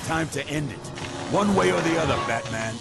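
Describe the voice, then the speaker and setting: A man voicing a menacing, vengeful shapeshifting clay monster in a video game, theatrical, taunting and gravelly. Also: on the high side, lively intonation, normal speed. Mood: defiant, taunting. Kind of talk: video game cutscene acting. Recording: loud music over the voice.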